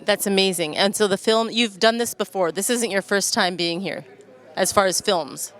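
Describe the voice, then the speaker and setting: A woman's voice: mid-range voice, normal speed, a bit bright, a faint crowd. A woman interviewing guests casually at a festival for television, with an effusive, encouraging manner.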